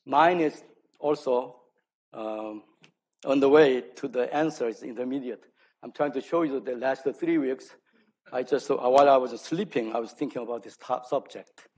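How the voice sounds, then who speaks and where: Korean-accented man giving a halting lecture. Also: medium pitch, a little mellow, poor audio. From South Korea. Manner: self-deprecating, halting delivery. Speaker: lecturer, archaeology scholar. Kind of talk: public academic lecture. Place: speaking live to an audience at an event.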